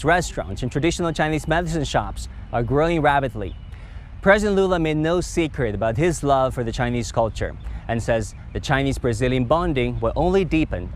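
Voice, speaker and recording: A man hosting a television news interview, asking polite, measured questions with occasional "um" fillers. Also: fairly high pitch, a little hiss or hum. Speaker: TV interviewer and host. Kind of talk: news interview and closing narration.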